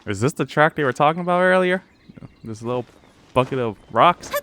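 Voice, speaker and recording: A man's voice: a little high, lively intonation, brisk, a bit bright, a little hiss or hum. A man doing casual, slangy let's play commentary with offhand reactions. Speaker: gaming streamer. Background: game music.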